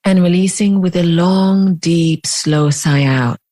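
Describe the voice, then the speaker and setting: Slow, low-pitched woman guiding meditation. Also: warm tone. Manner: slow, deliberate phrasing with long pauses. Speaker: meditation teacher. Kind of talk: guided meditation.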